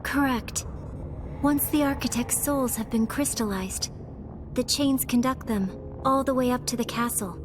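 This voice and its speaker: A woman playing a solemn, composed young resistance member in a video game, explaining in a measured way. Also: sits high, background noise. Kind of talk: animated video game voice acting. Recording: music behind the voice.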